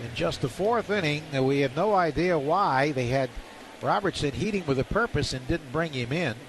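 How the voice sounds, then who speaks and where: A man with a fairly high voice calls a baseball game live on the radio. He works from a stadium booth, with clear outdoor ambience and noise around him. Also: animated, moderate pace. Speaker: baseball play-by-play announcer. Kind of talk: live radio play-by-play commentary.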